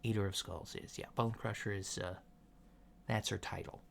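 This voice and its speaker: A man playing a casual, reassuring alien doctor in a soft ASMR roleplay, speaking in a mild, hesitant, matter-of-fact way. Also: on the low side, fairly even intonation, normal speed. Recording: lo-fi with clear background noise.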